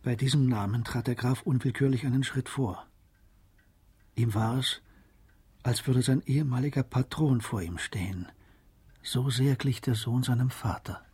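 A man narrating a historical radio drama as a composed storyteller, in a measured, literary manner. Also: mid-range voice, a little mellow, husky.

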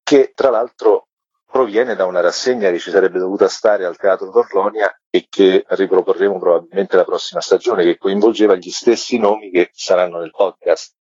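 A man's voice: deep voice, steady tone, moderate pace, darkish tone, slightly rough. A man answering questions as a guest in a radio interview. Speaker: guest, theatre artist. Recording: dull, roomy phone-in sound.

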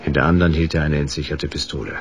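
An actor playing a world-weary hard-boiled detective, narrating a radio drama in a laconic, deadpan way. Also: male voice, a bass voice, rapid-fire, background noise. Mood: dazed, laconic. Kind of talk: noir first-person radio drama narration. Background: music.

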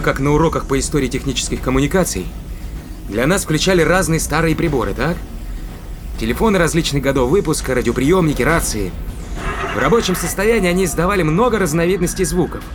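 A man's voice: on the high side, a little fast, music in the background, natural voice. A man playing an inquisitive spaceship captain in a science fiction audio drama, commanding and thinking aloud. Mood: pensive, suspicious.

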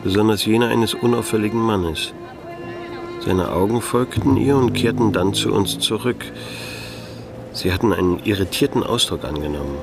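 An actor playing a disillusioned middle-aged private detective in a radio play, laconic and dryly sardonic, narrating in noir style. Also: male voice, fairly low pitch, moderate pace, gravelly, music in the background. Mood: wry, brooding.

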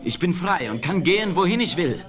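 Proud young chief, solemn and stately. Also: male voice, high-pitched, very animated, fairly quick, fairly bright tone, faint music behind. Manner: solemn, declamatory, stately phrasing. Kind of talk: 1970s western radio play acting.

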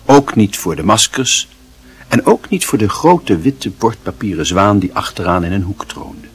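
A male narrator reads a literary story with drama. His voice is lowish and very husky, and it moves through a wide, animated range of pitch. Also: normal speed, faint music behind.

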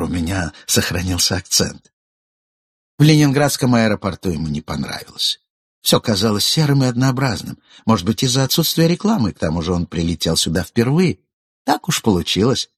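A man reading literary prose aloud as an audiobook narrator, in a measured, ironic storytelling manner. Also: fairly low pitch, slightly rough, no room sound, clean studio sound.

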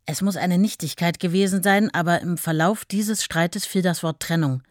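Actress as dry-sounding, long-suffering wife. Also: female voice, on the low side, normal speed, no room sound, natural voice. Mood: troubled recollection. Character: writer's steady, long-suffering wife recalling their marriage. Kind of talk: radio play monologue.

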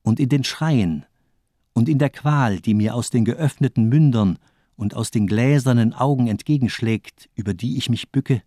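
An actor playing a fanatical, cold-blooded doctor and torturer in a crime radio play, declaiming in a grandiose, rhetorical way. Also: male voice, medium pitch, normal speed, warm tone, no echo, clean studio sound, lightly acted. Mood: impassioned, cruel. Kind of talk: dramatic radio play monologue.

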